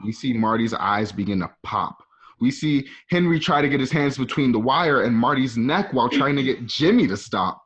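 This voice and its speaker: A male narrator reads out scene directions for a radio drama in a medium-pitched voice. The sound is slightly dull, like a lo-fi home recording. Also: animated, moderate pace, a bit bright.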